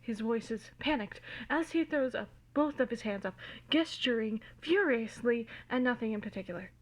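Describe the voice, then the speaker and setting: A woman giving an amateur, theatrical and giggly dramatic reading of fan fiction, recorded in low quality on a phone. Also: expressive, normal speed, poor audio. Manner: giggly, theatrical, easily cracking up.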